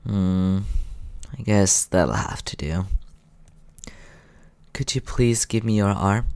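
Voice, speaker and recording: A man plays a polite, softly teasing male vampire in an intimate ASMR roleplay. The voice is low with a gravelly fry, calm and unhurried. It sits close to the mic in a very dry space. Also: dry recording, exaggerated voice. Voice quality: low, creaky. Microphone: close microphone.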